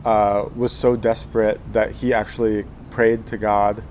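A man's voice: on the low side, flat delivery, measured pace, darkish tone, slight background noise. Hesitant young man telling his story. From Texas, USA. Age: 20s. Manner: hesitant, many fillers and restarts. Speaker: guest, Zen monk. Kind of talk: casual life-story interview.

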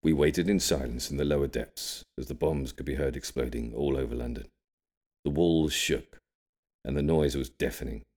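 A man plays a cryptic old grandfather with an extremely low voice. He tells grave audiobook tales of a wartime childhood, measuring his words with an ominous care. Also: darkish tone. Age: older. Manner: careful, measured, ominous storytelling. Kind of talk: audiobook character storytelling.

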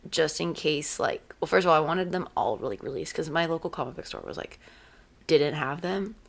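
A woman's voice: deep voice, lively intonation, moderate pace, gravelly. Chatty young woman losing her voice. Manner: chatty, fillers of "like", loud. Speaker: co-host. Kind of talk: casual fan discussion.

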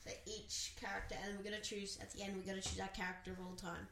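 A woman co-hosting a casual podcast from a small home studio. Her voice is raspy, and she talks in a quick, scattered way. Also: mid-range voice, little pitch movement, a bit bright, echoey room, slight background noise, rough recording. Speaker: podcast co-host.

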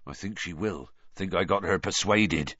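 A man voicing a big, warm-hearted giant of a gamekeeper with a gruff, folksy, colloquial way of talking in a storybook reading. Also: deep voice, moderate pace, dry recording, highs cut off. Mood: secretive. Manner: folksy, clipped colloquial speech.

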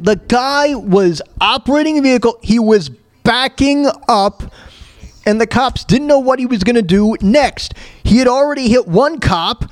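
A man with a high, very animated voice hosting a show. He comments on news in a blunt, emphatic way, often stumbling, repeating himself and rambling as he goes. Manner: halting, repetitive, rambling delivery. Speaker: show host. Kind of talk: opinionated commentary on news clips.

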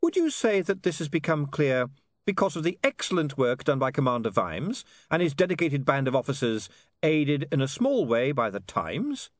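A man's voice: on the high side, big pitch swings, normal speed, dry recording, clean studio sound, pitched above the performer's own voice. A man voicing a young newspaperman who asks pointed questions with sweet, haughty politeness in an audiobook. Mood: sweetly pointed.

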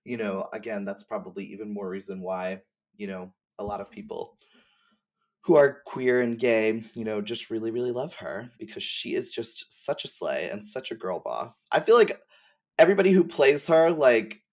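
A man's voice: on the low side, lively intonation, moderate pace. A man talking casually as a podcast guest, in an effusive, slangy way. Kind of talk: casual chat.

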